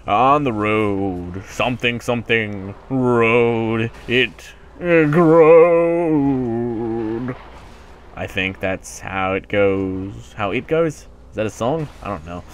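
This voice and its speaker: A man's voice: medium pitch, slow, slight background noise. Rambling man doing casual game commentary. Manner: rambling, self-mocking. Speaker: gaming YouTuber. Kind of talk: casual let's play commentary.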